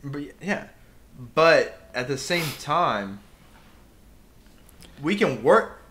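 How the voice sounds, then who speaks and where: A young man co-hosts a laid-back podcast and talks in a bright, cutting tone. He gets worked up as he goes, rambling through his point and then punching it home with sudden emphatic bursts. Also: a little high, sing-song, extremely slow, a little hiss or hum. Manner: impassioned ranting with emphatic outbursts. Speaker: podcast co-host. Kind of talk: casual podcast rant.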